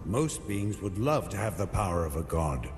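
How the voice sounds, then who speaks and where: An actor playing a stern, brooding veteran knight commander in a video game, speaking in terse, weighty, commanding phrases. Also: male voice, sits low, sing-song, moderate pace. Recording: long reverb, music behind.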